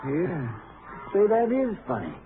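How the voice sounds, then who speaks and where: A folksy texas sheriff, kinda high voice, old radio show, muffled and hissy. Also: male voice, huge pitch swings, slow, heavily acted. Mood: puzzled, doubtful. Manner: folksy, plain-spoken. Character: folksy small-town Texas sheriff, eager to close the case. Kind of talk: 1950s radio drama acting.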